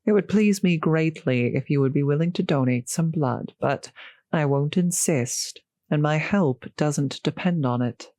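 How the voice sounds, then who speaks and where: Slow, genteel female vampire, acted by a woman. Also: sits low, mellow, dry recording, studio quality. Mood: polite, reassuring. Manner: polite, formal, old-fashioned phrasing, solicitous. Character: courteous, genteel female vampire, gently seductive host. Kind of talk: intimate audio roleplay addressed to the listener.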